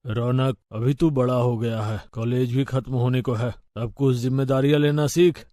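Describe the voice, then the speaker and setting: A man's voice: mid-range voice, fairly even intonation, normal speed, warm tone, dry recording, clean studio sound, close to an everyday voice. A man voicing a serious, authoritative middle-aged businessman father in a story, grave and advising. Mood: stern.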